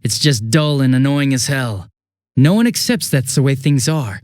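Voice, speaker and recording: An American man playing a cynical, bitter young villain in a video game, with a husky voice and a flippant, contemptuous manner. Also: medium pitch, animated, normal speed, dry recording, studio quality, close to an everyday voice. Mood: bitter contempt. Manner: flippant, mocking, contemptuous drawl. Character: cynical, bitter, sneering young male villain turning unhinged.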